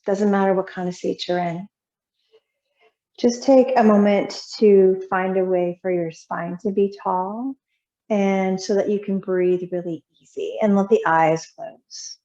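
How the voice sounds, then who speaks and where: Very slow, soothing woman guiding meditation. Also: mid-range voice, steady tone. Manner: soothing, unhurried, gently instructing with long pauses. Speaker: yoga teacher leading a guided relaxation. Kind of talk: guided meditation. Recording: roomy echo, slightly muffled sound.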